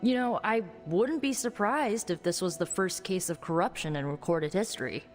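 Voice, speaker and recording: An actress playing a chatty, wry young sorceress in a video game, with a breezy, playful conversational manner. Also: female voice, lowish voice, normal speed, faint music behind, close to an everyday voice. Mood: intrigued, earnest. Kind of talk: video game cutscene acting.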